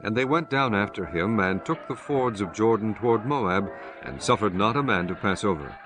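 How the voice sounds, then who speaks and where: A man narrating scripture from a script in a measured, solemn manner with archaic biblical wording. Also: fairly low pitch, expressive, over music. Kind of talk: scripted scripture narration, King James Bible.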